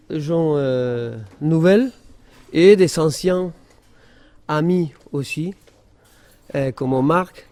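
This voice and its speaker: A man with an Argentine Spanish accent speaks as an invited radio guest. His tone is soft and mellow and he takes his time, often pausing to search for words. The voice sits a little high for a man. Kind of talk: self-introduction in a radio discussion.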